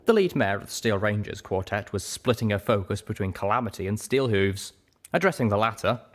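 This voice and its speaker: A man's voice: fairly low pitch, expressive, fairly quick. A man reading scripted first-person fiction as a storyteller. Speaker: story reader.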